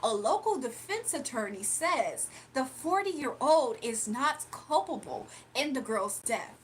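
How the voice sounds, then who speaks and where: A woman putting on the voice of a stiff female TV news anchor reading a teleprompter, in a slow, measured cadence. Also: high-pitched, expressive, slight background noise. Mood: formal.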